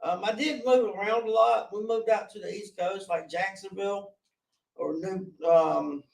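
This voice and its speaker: A man in his forties from North Carolina speaks in a loud, fairly high voice, telling his life story earnestly with plenty of restarts. He sits back from the mic in a roomy, echoing space. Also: steady tone, normal speed, vocal fry, distant mic. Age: 40s. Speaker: podcast guest.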